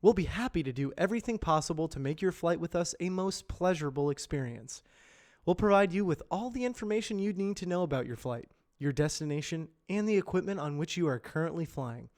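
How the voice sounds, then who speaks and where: A man reading scripted educational and corporate narration in a measured, clearly enunciated explainer delivery, as an amateur voice actor. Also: a little high, animated, darkish tone.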